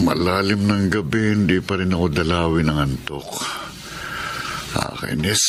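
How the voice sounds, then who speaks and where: A gruff crime boss in a Filipino radio drama. His voice is lowish, cool and commanding. Soft music runs beneath him. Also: full character voice. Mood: brooding.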